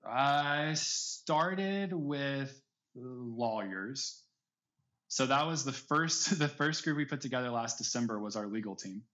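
A man's voice: medium pitch, animated, slow pace, fairly bright tone, highs cut off. A man speaking casually as a podcast guest, opinionated and explaining his points with examples. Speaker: podcast guest, audio drama creator. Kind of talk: casual interview talk.

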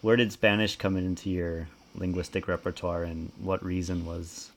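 A man's voice: low-pitched, little pitch movement, moderate pace. A man hosting an interview, enthusiastic and repeating words as he shapes his questions. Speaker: podcast host. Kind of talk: casual interview talk.

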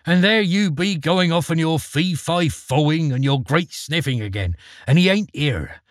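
A man voicing the ogre's sharp-tongued giant wife, who nags in rustic, broken speech. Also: fairly high pitch, animated, a little slow, dry recording, clean studio sound, voice pushed up. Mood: scolding. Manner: rustic, nagging country speech.

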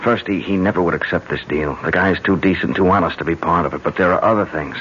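An actor playing an earnest, suspicious husband and loyal friend, plain-spoken and insistent, in a radio drama. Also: male voice, deep voice, quick pace, fairly bright tone. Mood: urgent conviction. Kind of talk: old-time radio drama acting. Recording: dull, hissy old radio broadcast.

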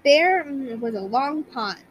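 High-pitched woman reading a bedtime story. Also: animated, takes it slowly, rough recording. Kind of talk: bedtime story narration for children.

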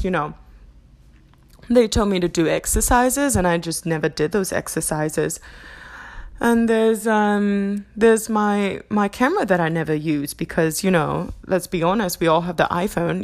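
A woman doing a put-on Australian accent that keeps slipping into English, talking off the cuff in a rambling, self-mocking way in her apartment and laughing often. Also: on the low side. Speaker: podcast host. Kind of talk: impromptu stream-of-consciousness monologue.

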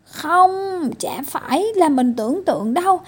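A woman's voice: sits high, animated, a little slow, pitched above the performer's own voice. A woman voicing a whiny, stubborn little boy in a children's storybook, yelping and complaining about pain.

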